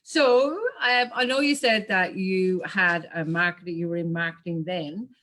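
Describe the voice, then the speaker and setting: A woman's voice: on the low side, fairly even intonation, measured pace, fairly bright tone. A woman in her forties hosting a live interview over a video call, casual with fillers. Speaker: interview host.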